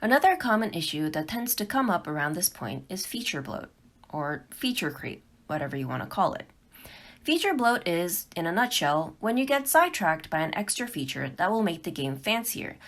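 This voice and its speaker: A woman's voice: lowish voice, big pitch swings, moderate pace. A woman narrating a scripted tutorial as an instructor. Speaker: game developer giving a tutorial.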